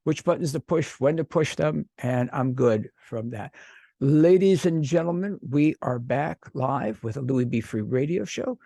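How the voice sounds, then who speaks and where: A man's voice: mid-range voice, lively intonation, measured pace, vocal fry, dark tone, dry recording, clean studio sound. Effusive rambling male radio host. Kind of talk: radio interview.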